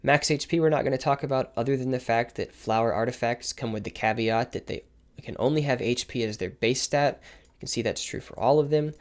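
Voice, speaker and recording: A man with a slightly hoarse voice, giving a quick, informal explanation of game mechanics with asides and self-corrections. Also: medium pitch. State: slightly hoarse from repeated takes. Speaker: game guide creator. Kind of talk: explaining game mechanics over gameplay footage.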